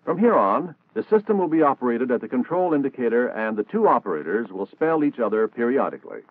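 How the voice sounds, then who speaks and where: A man's voice: mid-range voice, sing-song, quick pace, no echo. An American man narrating a scripted 1960s military training film in a formal, precise, instructional manner. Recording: dated narrow-band 1960s film soundtrack.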